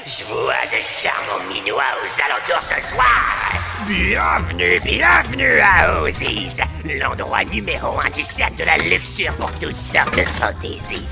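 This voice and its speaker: Gravelly, wildly swooping robot jester emcee. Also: male voice, on the high side, huge pitch swings, a little slow, few pauses, full character voice. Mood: showy. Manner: showy, proclaiming. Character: brazen, crude robot jester nightclub emcee. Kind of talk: French fan dub, stage announcing. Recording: music behind the voice.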